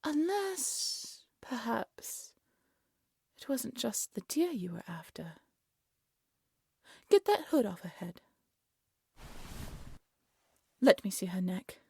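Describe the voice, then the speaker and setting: Breathy, wildly expressive evil queen. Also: fairly high pitch, slow, pauses often, no room sound, studio quality, heavily acted. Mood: commanding, haughty. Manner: imperious, scolding, condescending, theatrical. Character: haughty, cruel, manipulative evil queen stepmother. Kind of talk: fairy-tale audio roleplay acting.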